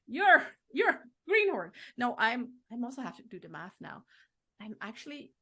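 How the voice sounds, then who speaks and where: A woman's voice: a little high, very animated, a little slow, pushed and bright, husky. A woman in her thirties, a podcast host being interviewed, talking frankly in a casual conversation, swearing now and then and laughing often. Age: 30s.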